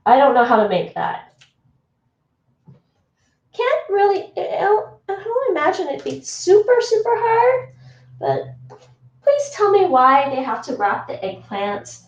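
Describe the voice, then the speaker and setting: A woman's voice: very high voice, big pitch swings, measured pace, pauses often, recorded from a distance, highs cut off. Chatty woman streaming from an echoey kitchen. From Toronto, Canada. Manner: chatty, answers chat, laughs often. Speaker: livestreamer. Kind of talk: casual livestream chat while cooking. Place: home kitchen. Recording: echoey room, voice off-mic.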